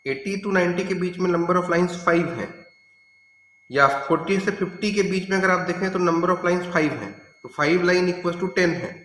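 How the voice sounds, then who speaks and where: A man's voice: sits high, measured pace, fairly bright tone. A man giving a step-by-step lab demonstration as a physics teacher, instructing and pointing things out as he goes. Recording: echoey room, noisy with hum.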